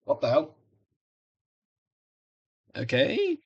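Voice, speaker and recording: A young man giving casual, hesitant, rambling commentary as he tries out software on screen, often muttering reactions to himself. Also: a little high, huge pitch swings, extremely slow, slightly rough, no room sound, dull audio. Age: young adult. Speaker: video creator. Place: small room indoors.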